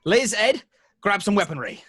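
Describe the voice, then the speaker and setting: A man acting a flustered, swooping, husky young leader. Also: sits high, sing-song, normal speed, fairly bright tone, no room sound, full character voice. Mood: urgent. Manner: urgent, exclamatory. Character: flustered young man taking charge of friends. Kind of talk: amateur table read acting.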